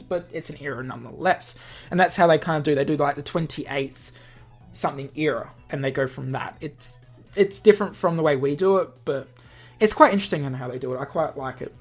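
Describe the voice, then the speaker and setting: A man hosting a gaming video, reading a visual novel aloud with casual asides and correcting himself as he goes. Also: fairly high pitch. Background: music.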